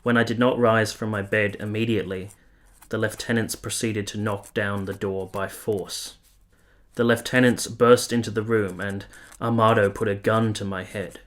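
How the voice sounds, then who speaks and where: An actor playing a weary, earnest, lovestruck army officer who narrates a radio play in solemn, literary, confessional language. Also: male voice, lowish voice, little pitch movement, moderate pace, fairly bright tone, natural voice. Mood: grave, subdued. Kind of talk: radio play, first-person letter narration.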